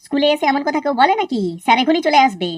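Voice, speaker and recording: Cartoon role: woman plays a lazy, cheeky schoolboy; high-pitched, quick-fire, foul-mouthed. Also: lively intonation, dry recording, clean studio sound. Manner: fast, sassy and crude. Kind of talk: cartoon voice acting.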